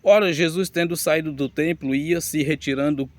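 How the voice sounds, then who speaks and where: A man's voice: fairly high pitch, fairly even intonation, normal speed. A man preaching a teaching sermon, reading scripture aloud and addressing his listeners directly with emphasis.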